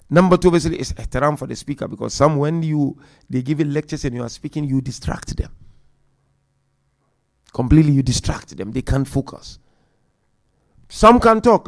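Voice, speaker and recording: A man delivers a religious lecture at a mourning gathering, working through numbered points and now and then chanting scripture quickly. His voice sits a little high and has a gravelly edge. He swings between loud emphasis and quiet asides. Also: animated. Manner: counts points aloud, chants Quran verses quickly. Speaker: Muharram majlis speaker.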